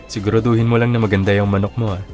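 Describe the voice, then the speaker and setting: A reserved young college leader, played by a man in a radio drama, with a lowish voice. He is modest and casual with a dry teasing streak, heard in a roomy echo over music. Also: little pitch movement, very fast, darkish tone, close to an everyday voice. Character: modest, shy-to-speak male college student leader, easygoing. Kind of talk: Filipino radio drama acting.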